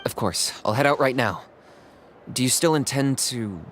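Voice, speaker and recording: A man's voice: mid-range voice, moderate pace, fairly bright tone, slight background noise, close to an everyday voice. An actor playing a serious, loyal warrior aide in a video game, earnest and grave in his delivery. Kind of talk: dramatic video game voice acting.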